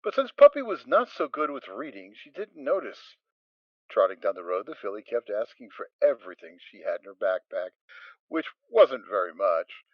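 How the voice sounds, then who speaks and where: Male narrator for fan audio drama, lively pitch, dry dull recording. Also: normal speed, no room sound. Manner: expressive storytelling.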